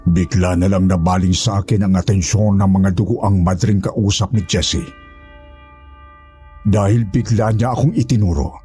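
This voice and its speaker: A man's voice: deep voice, fairly even intonation, moderate pace, mellow, soft background music, lightly acted. A man playing a humble former houseboy, narrating a horror story in the first person with an earnest, polite manner. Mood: recounting, earnest.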